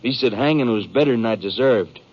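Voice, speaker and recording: A man acting a frightened, aggrieved American rancher on the run in a 1950s radio western, speaking in a rustic, earnest, halting way. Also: mid-range voice, close to an everyday voice. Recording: muffled 1950s radio recording.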